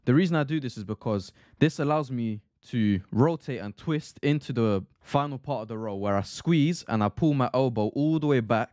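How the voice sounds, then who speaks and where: A man's voice: medium pitch, darkish tone, no room sound, studio quality. Earnest young man explaining gym training. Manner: earnest, explanatory, repeats phrases. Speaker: fitness vlogger. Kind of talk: gym vlog voice-over narration.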